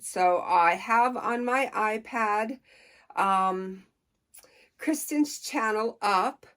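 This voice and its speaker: A middle-aged woman chatting casually to camera about books, unhurried and folksy, with a playful, expressive way of talking. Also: mid-range voice. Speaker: book reviewer on a personal channel.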